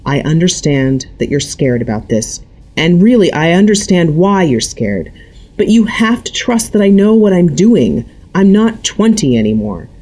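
A woman's voice: fairly low pitch, very animated, measured pace, warm tone, pitched above the performer's own voice. A woman voicing a tense adult daughter who answers in a clipped, defensive, strained way. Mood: placating.

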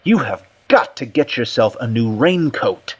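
A man's voice: lowish voice, animated, unhurried. A man voicing an unhinged academic in a story, emphatic and oddly flippant in manner. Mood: stern. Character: unhinged male academic, pleading turning eerily flippant.

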